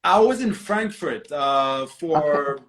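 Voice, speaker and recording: A man from Zambia answering interview questions over a video call, relaxed and conversational. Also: a little high, takes it slowly. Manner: relaxed, conversational, fillers like you know. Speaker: guest artist.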